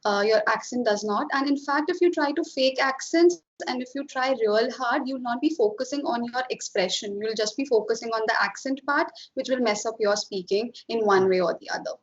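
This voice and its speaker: A woman's voice: a little high, a little fast, few pauses, highs cut off. A woman with an Indian accent hosting an interview, explaining points emphatically to viewers. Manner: explanatory, emphatic, mixes in Hindi phrases. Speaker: IELTS coaching host. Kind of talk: interviewing a student, advising viewers.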